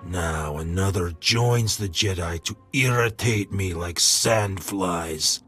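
An actor playing an imperious, contemptuous crime boss in a video game, with a disdainful manner. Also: male voice, low-pitched, a bit bright, faint music behind. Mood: irritated contempt. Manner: slow, disdainful.

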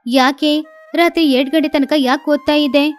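A woman's voice: high voice, steady tone, moderate pace, soft background music, lightly acted. A woman voicing a cartoon mother sparrow who is bossy and talks in a nagging, scolding way.

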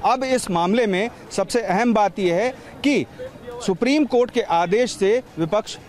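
A man in his forties reports live from a street outside, holding a handheld microphone. His voice sits high for a man, and he explains things steadily, glossing terms as he goes. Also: a little slow, darkish tone. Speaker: field reporter. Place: outdoors by a street.

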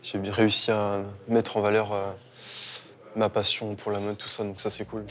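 A man's voice: on the low side, monotone, moderate pace, music in the background. A teenage man from Burgundy answering casual interview questions hesitantly, with many fillers. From Burgundy, France. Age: teens. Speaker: model contestant.

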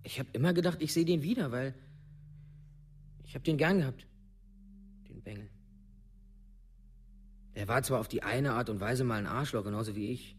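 A shaken village teenager played by a man recounts things in a radio play monologue. His voice sits at a middle pitch, and his wording is blunt, colloquial and halting. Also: slight background noise, lightly acted. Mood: wistful, defensive. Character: Matthias, rough, shaken teenage village friend of victim.

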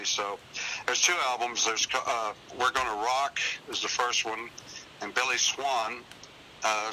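A man's voice: on the low side, bright, forceful tone, low-quality recording. An older man chatting casually as a call-in guest, telling anecdotes in a rambling, folksy, very polite way. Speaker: phone-in guest, singer and website owner. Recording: hissy radio call-in with clear noise.

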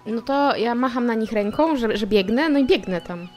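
A woman's voice: fairly high pitch, animated, moderate pace, a little mellow, faint music behind. Woman player chatting in a tabletop game. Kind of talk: casual in-character game chat.

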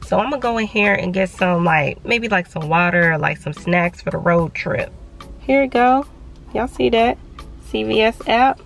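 A woman's voice: mid-range voice, lively intonation, normal speed, creaky, faint music behind. A woman, chatting casually to her viewers in a home vlog and calling them y'all. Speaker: vlogger. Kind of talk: casual vlog chat to camera. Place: home rooms and a parked car.

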